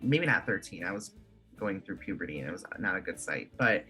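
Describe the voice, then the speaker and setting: A man's voice: sits low, animated, brisk, sharp tone. A man in his twenties from Michigan, a guest chatting casually in an interview, full of "like" fillers. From Michigan, USA. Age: 20s. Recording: faint music behind.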